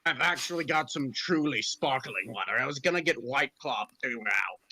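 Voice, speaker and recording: A man's voice: mid-range voice, expressive, fairly quick, sharp tone. A man improvising comic, sweary banter during a playful script reading. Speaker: cast member in a comedy script reading.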